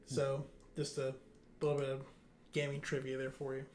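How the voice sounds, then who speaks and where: A man's voice: medium pitch, completely flat delivery, moderate pace, a little mellow, slight background noise. A man hosting a casual gaming news discussion, talking bluntly and informally, with some loose swearing. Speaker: gaming podcast host.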